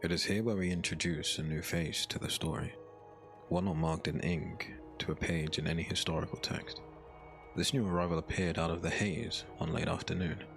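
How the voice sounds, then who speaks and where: A man narrating a scripted dark fairy tale as a storyteller, in a measured, solemn manner. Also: deep voice, steady tone, creaky, faint music behind.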